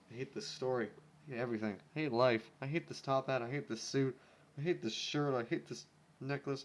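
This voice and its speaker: Male fan fiction reader, medium pitch, casual delivery, sarcastic and exasperated asides. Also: creaky, a little hiss or hum. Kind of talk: casual story reading with ranting asides.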